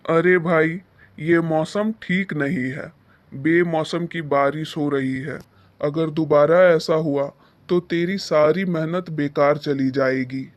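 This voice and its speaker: A man's voice: a little high, fairly even intonation, takes it slowly. A man voicing a stern, grudging rich village landowner who scolds and dismisses in a moral-story cartoon. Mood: doubtful, scolding. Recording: music behind the voice. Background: background music.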